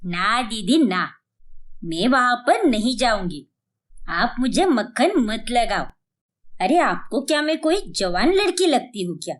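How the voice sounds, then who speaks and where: A woman's voice: a little high, sing-song, unhurried. A woman voicing an ageing younger sister in a family story, blunt, stubborn and protesting. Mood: exasperated refusal.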